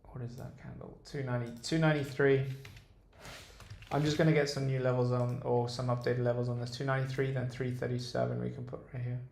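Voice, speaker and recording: Flat, gravelly British-accented young man. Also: mid-range voice, fast, a little hiss or hum. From Dubai. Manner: relaxed, hesitant, many ums and restarts. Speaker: day trader streamer. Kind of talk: casual livestream chart commentary, reading chat. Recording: roomy echo.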